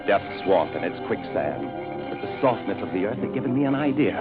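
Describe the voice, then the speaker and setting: A man's voice: on the low side, very animated, a little fast. An actor playing a desperate but resolute hunted man, narrating his ordeal with dramatic urgency in a vintage radio suspense drama. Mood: tense, dread. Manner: intense, dramatic, breathless urgency with clipped phrases. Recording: tinny old radio broadcast, loud music behind.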